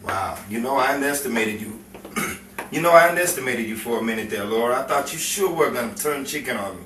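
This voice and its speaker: A man's voice: mid-range voice, expressive, fast, close to an everyday voice. A man playing a cocky, menacing gangster boyfriend with slangy tough-guy talk in a 1950s crime melodrama. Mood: smug, threatening. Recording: roomy, distant mic, faint noise.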